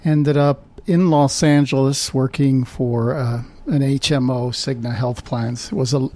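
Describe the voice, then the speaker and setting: A man's voice: medium pitch, fairly even intonation, a little slow, darkish tone. A middle-aged man from Guatemala, a physician guest in an interview, speaking in a hesitant way with many fillers and restarts. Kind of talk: conversational interview.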